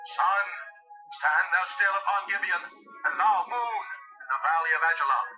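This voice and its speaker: A man playing a stern, commanding ancient war leader in a dramatized scripture reading, forceful and declamatory. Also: sits high, moderate pace. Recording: muffled audio with music underneath.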